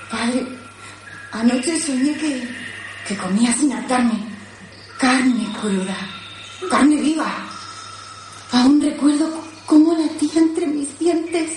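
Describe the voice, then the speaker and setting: Actress doing a sick chained zombie girl in a radio play, begging and hungry, high voice, really echoey. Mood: dreamy, morbid. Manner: plaintive, drawn-out speech. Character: feverish chained daughter turning zombie, pleading, hungry for flesh. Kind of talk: theatrical radio drama. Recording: roomy echo, noisy background.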